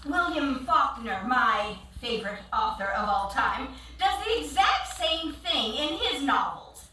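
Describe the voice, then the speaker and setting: A woman's voice: high voice, big pitch swings, unhurried, bright, forceful tone, heavily acted. A woman playing a haughty, condescending literary snob in a theatrical staged play reading. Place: indoor theatre stage, far from the camera. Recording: echoey theatre, distant camera, faint noise.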